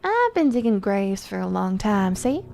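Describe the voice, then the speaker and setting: A woman doing a breezy, chillingly calm gravedigger. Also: medium pitch, normal speed, gravelly, soft background music. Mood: breezy unsettling. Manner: breezy, sing-song, falsely friendly.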